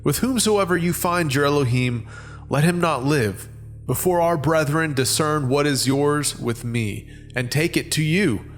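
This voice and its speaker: A man's voice: on the high side, measured pace, soft background music, natural voice. A man playing an indignant, wronged herdsman in a dramatized scripture reading, impassioned and declamatory. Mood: defensive. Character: indignant, wronged younger herdsman, biblical son-in-law.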